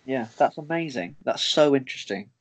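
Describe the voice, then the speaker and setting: A man's voice: mid-range voice, expressive, fairly quick. An upbeat young man with a Northern English accent hosts a casual podcast chat in an everyday middle register. He is friendly and easygoing, and laughs often between his thoughts. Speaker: podcast host. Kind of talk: casual conversation.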